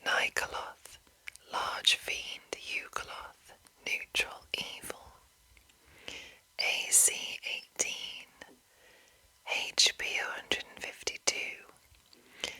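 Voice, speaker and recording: A woman whispering in a breathy, unvoiced voice as an ASMR host, with careful, gentle enunciation. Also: poor audio. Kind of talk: whispered ASMR, reading and chatting.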